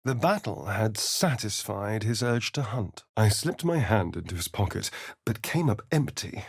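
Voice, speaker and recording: Sly vampire rogue, English accent, vain, theatrical, arch, unhurried, very animated pitch, video game character. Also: male voice, gravelly, slightly rough, heavily acted. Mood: hushed narrating.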